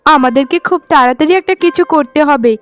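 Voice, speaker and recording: A woman's voice: high voice, quick pace, faint music behind, lightly acted. A woman voicing a caring, worried elder co-wife in a cartoon melodrama, speaking in a sisterly, earnest way.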